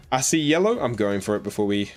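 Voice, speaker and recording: A man's voice: on the low side, big pitch swings, a little fast, faint music behind. A man with an Australian accent chatting casually on a live game stream, rambling with lots of fillers as he answers his chat. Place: home in New Zealand.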